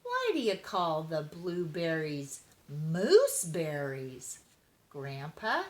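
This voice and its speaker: A woman voicing an excitable, curious little girl kitten in a storybook, in a childlike, pleading manner. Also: deep voice, slow pace, exaggerated voice.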